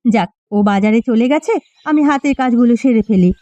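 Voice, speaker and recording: A woman's voice: a little high, expressive, brisk, dark tone, no room sound, close to an everyday voice. A woman voicing a caring, anxious village housewife in a moral-story cartoon. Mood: homely. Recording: dull lo-fi sound with music behind.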